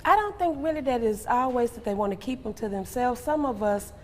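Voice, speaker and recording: A woman's voice: on the high side, expressive, fairly quick. Woman guest in a reverberant hall. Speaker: interview guest, stage play cast member. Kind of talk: casual interview talk. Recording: reverberant hall with faint noise.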